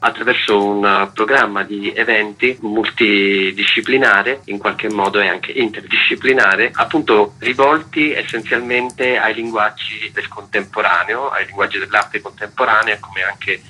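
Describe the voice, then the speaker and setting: A man's voice: fairly low pitch, fairly even intonation, measured pace, hardly any pauses, bright, forceful tone, breathy edge, low-quality recording. A man giving an explanatory radio interview as a festival's artistic director, articulate and wordy with formal, intellectual phrasing.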